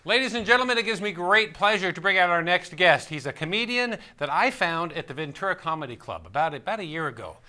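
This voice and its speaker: Quick, high-voiced male talk show host. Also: expressive, bright, forceful tone, slight background noise. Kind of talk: live talk show introduction and interview.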